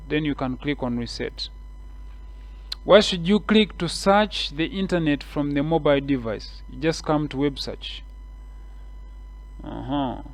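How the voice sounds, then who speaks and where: Kenyan man talking through a screen tutorial. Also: a little high. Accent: Kenyan English. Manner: relaxed, repetitive explaining with frequent fillers. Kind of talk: screen-share tutorial walkthrough.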